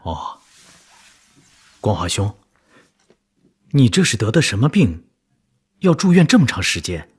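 A man's voice: fairly low pitch, very animated, a little slow, pauses often, husky, exaggerated voice. An actor playing a loyal, anxious army officer in a radio drama, emotive and full of care for his comrade. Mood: caring. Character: loyal army officer, anxious, warm younger brother-in-arms. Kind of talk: broadcast radio drama acting.

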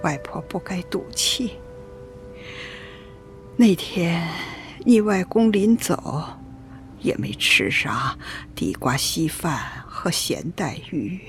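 Slow, sorrowful old grandmother. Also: fairly low pitch, expressive, faint music behind. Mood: regretful. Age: older. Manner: slow, wistful, tearful storytelling. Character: sorrowful, regretful old grandmother recalling her lost husband. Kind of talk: radio drama acting.

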